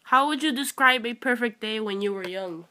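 A woman's voice: a little high, normal speed, sharp tone. A woman interviewing her father, asking short, plain questions one after another. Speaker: interviewer, the man's daughter. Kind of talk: casual family interview.

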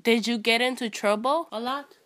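A woman interviews her father about his childhood. Her voice sits somewhat high. She asks short, plain questions one after another. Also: moderate pace, fairly bright tone. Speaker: interviewer, the man's daughter. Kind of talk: casual family interview.

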